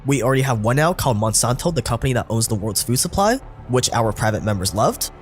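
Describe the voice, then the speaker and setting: A man's voice: medium pitch, expressive, fast talker, soft background music. A man narrating a scripted documentary in a wry, sarcastic way, his voice sounding a little sick.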